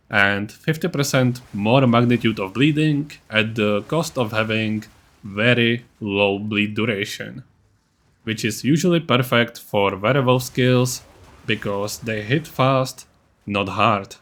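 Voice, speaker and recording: A man's voice: lowish voice, fairly bright tone. A man narrating a video game guide as its creator, in an informal, matter-of-fact, explanatory way. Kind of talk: casual explanatory build-guide voice-over.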